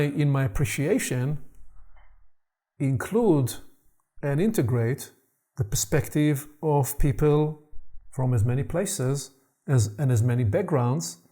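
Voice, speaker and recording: A man born in Israel hosting a reflective conversation on personal development, speaking in a slow, searching way and repeating words as he thinks. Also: on the high side, dark tone. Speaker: host.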